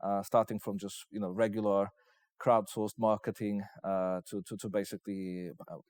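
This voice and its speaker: Low-voiced Polish man being interviewed. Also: flat delivery, moderate pace, a little mellow. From Poland. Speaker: investment company representative, interviewee. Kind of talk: interview answers, business pitch.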